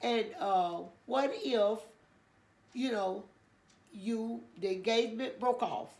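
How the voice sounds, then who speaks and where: A woman's voice: fairly high pitch, slow, a little mellow. An older woman hosting her own channel, chatting casually and telling a church story in a nasal, congested voice, rambling with many fillers. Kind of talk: casual chat.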